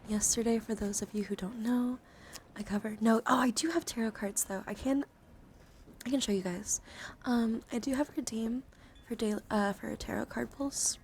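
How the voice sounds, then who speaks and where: A woman hosting an ASMR livestream, chatting in soft whispers and hushed talk, with slow, repetitive phrasing. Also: fairly high pitch, fairly even intonation. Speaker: livestream host. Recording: clear background noise on livestream.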